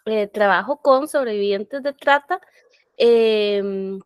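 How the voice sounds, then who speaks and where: A woman's voice: mid-range voice, smooth, no room sound, studio quality. Costa Rican woman on a video call. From Costa Rica. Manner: measured, tags lines with "¿verdad?". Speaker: audience member asking a question.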